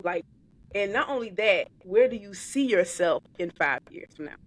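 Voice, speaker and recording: A woman in her thirties hosting a podcast interview, upbeat and animated in a casual, affectionate way. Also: mid-range voice, normal speed, poor audio. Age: 30s. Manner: upbeat, animated, calls the guest "baby". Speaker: podcast host.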